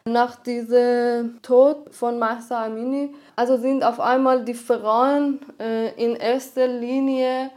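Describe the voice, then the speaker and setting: Slow, high-voiced woman with Persian accent. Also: flat delivery, a little mellow. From Iran. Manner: hesitant non-native German with frequent "äh" and restarts. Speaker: guest, filmmaker. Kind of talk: podcast interview.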